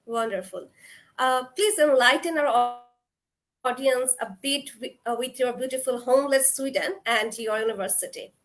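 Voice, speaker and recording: A woman with a high voice hosts an online webinar. She asks formal, polite questions over a video call. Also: moderate pace, a bit bright. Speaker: host. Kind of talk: webinar interview.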